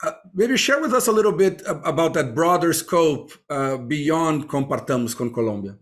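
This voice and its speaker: A man hosting a podcast interview, introducing his guests warmly and asking questions with many hesitant fillers. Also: on the high side, animated, moderate pace. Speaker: podcast host.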